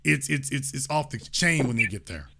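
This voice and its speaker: A man's voice: mid-range voice, animated, normal speed, gravelly, a crowd in the background. A man hosting a call-in show, lecturing in an emphatic way. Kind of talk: talk radio commentary.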